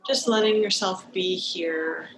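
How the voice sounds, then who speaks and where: A woman leading a guided meditation as a teacher, speaking in a calm, gentle, unhurried manner with long pauses, over a video call. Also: on the low side, crowd noise. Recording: noisy live video-call recording.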